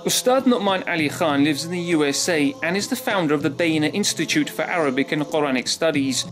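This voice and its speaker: A man's voice: a little high, brisk. A man hosting an interview show, opening with a formal introduction and asking questions. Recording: faint music behind.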